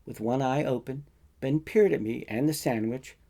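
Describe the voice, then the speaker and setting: A man reading his own short fiction as a first-person narrator, in a conversational, colloquial way with expressive emphasis. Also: mid-range voice, moderate pace.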